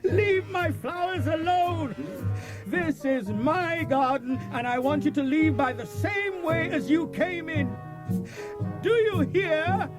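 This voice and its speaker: An exasperated old farmer from a children's storybook. His voice is high and leaps about in pitch, with emphatic, theatrical phrasing. Music plays behind him on the cassette recording. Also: lively intonation, slow, exaggerated voice. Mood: indignant. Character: exasperated but resourceful old farmer and gardener. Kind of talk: audiobook character acting.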